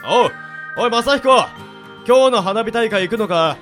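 A man's voice: high voice, lively intonation, normal speed, fairly bright tone. A man playing a hapless, dim but good-natured teenage boy in a radio drama, with music behind. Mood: cocky, boisterous. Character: teenage boy, cheerful hapless dimwit unlucky in love. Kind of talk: amateur radio drama acting.

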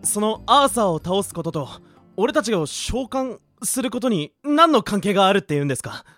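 A man's voice: sits high, very animated, normal speed, a bit bright, faint music behind. A man playing a level-headed, exasperated teenage boy in a Japanese anime-style radio drama, blunt and grumbling. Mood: questioning.

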